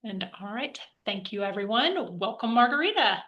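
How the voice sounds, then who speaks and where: A woman's voice: mid-range voice, lively intonation, normal speed, muffled recording. A woman hosting a gallery program, reading a polite, upbeat welcome on a video call. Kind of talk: scripted welcome introduction.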